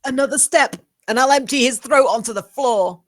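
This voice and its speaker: Defiant woman acted by an actress, highish voice, threatening, distraught, intense, dry recording, table read. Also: moderate pace, dry recording, close to an everyday voice. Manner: intense, challenging.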